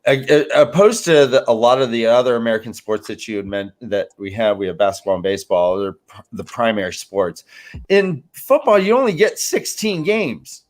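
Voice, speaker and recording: A man on a sports panel talking casually, thinking aloud in halting phrases. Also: mid-range voice, lively intonation. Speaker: fantasy football panelist.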